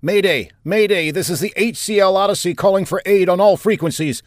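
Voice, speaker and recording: A man doing an urgent shouting distress caller. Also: high-pitched, brisk, no room sound, clean studio sound, voice pushed up, lightly acted. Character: male starship crewman sending a distress call.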